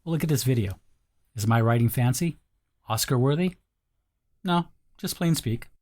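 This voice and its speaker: A man's voice: medium pitch, expressive, moderate pace, darkish tone. A man reading a scripted sales video voice-over in an informal, conversational way, speaking directly to the listener. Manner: informal first-person direct address to the listener.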